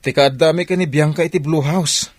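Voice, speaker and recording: A man's voice: fairly high pitch, steady tone, fairly quick, no room sound, close to an everyday voice. A man with an Ilocano accent playing a glum, broke friend in a radio comedy drama, plaintive and hesitant. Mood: troubled. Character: glum, broke man with woman troubles, touchy among friends.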